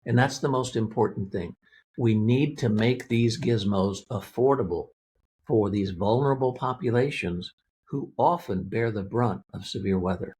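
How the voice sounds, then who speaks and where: A man's voice: lowish voice, normal speed, darkish tone, a little hiss or hum. A man speaking as an interview guest, explaining a product in a plain-spoken, methodical, conversational way. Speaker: interview guest, weather radio company representative.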